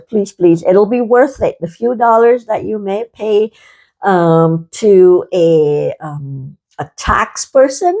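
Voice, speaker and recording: This is an older woman from Barbados, chatting casually to camera in a vlog. Her voice is mellow and dark-toned, sitting at an ordinary pitch for a woman. She rambles earnestly, with lots of fillers and repeated pleas. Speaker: vlogger.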